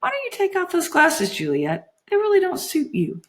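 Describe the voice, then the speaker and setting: Woman narrator as female elf captain, defiant, firm, coaxing, commanding, lively intonation, fantasy storybook. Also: extremely high pitch, moderate pace, voice pushed up, exaggerated voice.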